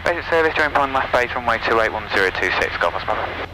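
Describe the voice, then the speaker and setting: A man's voice: mid-range voice, lively intonation, fast talker. A young man piloting a small plane, making clipped radio calls over an aviation headset. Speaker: private pilot. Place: inside a small aircraft in flight. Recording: noisy light aircraft cockpit intercom. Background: engine and radio noise.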